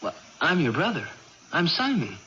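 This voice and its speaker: An earnest gentle young brother, highish voice, patient and coaxing, muffled old radio play. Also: male voice, wildly swooping pitch, normal speed, exaggerated voice. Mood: excited, startled. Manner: coaxing, tender, simple explanations. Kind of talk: 1980s radio drama acting. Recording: muffled old radio broadcast, faint noise.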